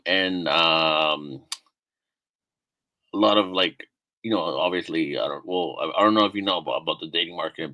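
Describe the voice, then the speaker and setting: A young man with a very low, bright and forceful voice chats casually as a stream host, stopping and restarting his sentences often. The sound is dry and close. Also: no room sound, rough recording. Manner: halting, many fillers and restarts. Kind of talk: casual chat, interviewing a guest.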